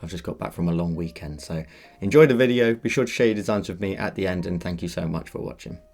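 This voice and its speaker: Man, deep voice, slightly croaky; patient tutorial host guiding beginners. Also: fast, a little mellow, faint music behind. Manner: patient, instructive walkthrough. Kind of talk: step-by-step drawing tutorial.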